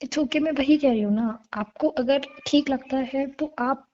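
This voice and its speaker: A woman's voice: high-pitched, measured pace, a loud crowd. A woman playing a remorseful young woman who earnestly pleads her love in an intimate phone-call drama. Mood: apologetic. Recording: dull lo-fi call recording.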